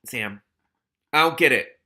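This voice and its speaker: A man's voice: medium pitch, expressive, unhurried, pushed and bright. A man reading a novel aloud expressively, with casual, candid asides of his own.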